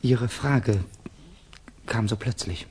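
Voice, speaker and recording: Husky, guarded man in exile. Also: medium pitch, slow, a little mellow. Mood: suspicious. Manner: terse, guarded, grave. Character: guarded, brooding, suspicious Russian man in exile. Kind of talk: German radio play acting.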